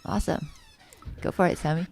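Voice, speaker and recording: A woman's voice: a little fast, a little mellow, slight background noise. A woman in her thirties hosting a music feedback livestream, chatty and enthusiastic, with loose casual fillers. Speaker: livestream host. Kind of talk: upbeat casual stream hosting.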